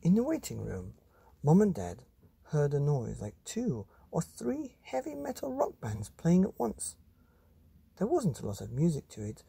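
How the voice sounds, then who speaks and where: Husky, mellow male storyteller. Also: fairly high pitch, huge pitch swings, a little slow. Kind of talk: expressive children's bedtime story reading.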